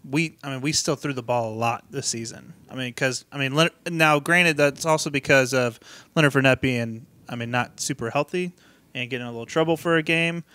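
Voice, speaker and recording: A man's voice: medium pitch, brisk, pushed and bright, clean tone. A man co-hosting a casual sports podcast, speaking hesitantly with many fillers. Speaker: podcast co-host.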